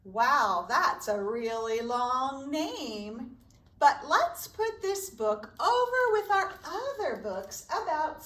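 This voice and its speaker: A woman's voice: high-pitched, fairly bright tone. A woman hosting a preschool story time, speaking in simple, encouraging phrases to young children as a children's librarian. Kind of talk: sing-song preschool storytime with songs and read-aloud. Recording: roomy echo, distant microphone.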